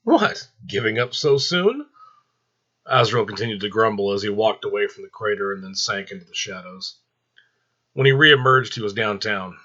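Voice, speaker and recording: A man's voice: on the low side, fairly even intonation, a little fast, pushed and bright, lo-fi sound. A man reading a story aloud as a storyteller, in dramatic scripted narration.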